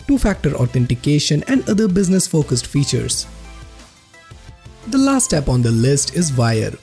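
Higher-voiced man in lively scripted narration. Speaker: host of a tech review channel. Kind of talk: scripted narration of an app roundup video. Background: background music.

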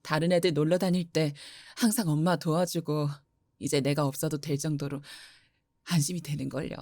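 A woman's voice: deep voice, fairly even intonation, moderate pace, darkish tone, no room sound, studio quality. A woman playing a middle-aged mother who runs a flower shop, teasing her daughter affectionately. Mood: warm teasing.

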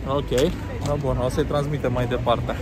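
Deadpan teasing man on a street. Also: mid-range voice, background noise. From Romania. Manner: deadpan mock-official, teasing. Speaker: friend on camera. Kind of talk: joking banter. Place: outdoor city street. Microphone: phone camera at arm's length. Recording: music in the background. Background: music.

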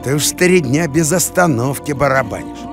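An actor playing a simple, good-natured talking dog in an animated series, naive and earnest. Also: medium pitch, normal speed, music in the background, lightly acted. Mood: awkward.

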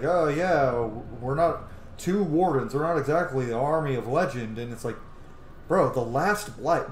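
A man in his thirties giving casual, enthusiastic, swear-heavy commentary while playing a game. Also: mid-range voice. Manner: enthusiastic, profane. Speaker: gaming streamer. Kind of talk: casual let's play commentary. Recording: roomy echo, background game ambience noise.

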